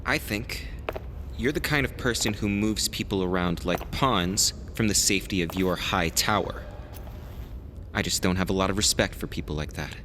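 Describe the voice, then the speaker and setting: A man's voice: low-pitched, normal speed, fairly bright tone, slight background noise. A man playing a blunt, distrustful young lieutenant in an audio drama, curt and formal. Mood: cold, distrustful.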